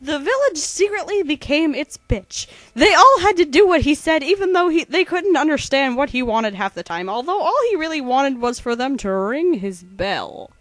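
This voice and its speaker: A woman's voice: sits high, big pitch swings, moderate pace, hardly any pauses, fairly bright tone, no echo. A woman co-narrating a fan-made comic parody in a slangy, mock-dramatic storytelling style.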